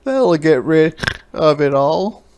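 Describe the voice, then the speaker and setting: A man's voice: a little high, sing-song, slow, darkish tone, smooth, pitched above the performer's own voice, full character voice. Storybook pig voiced by a man, helpful and emphatic, snorting, slightly raised voice. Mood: brisk advice. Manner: snorting, emphatic advice-giving. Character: pig, helpful farm animal with suggestions.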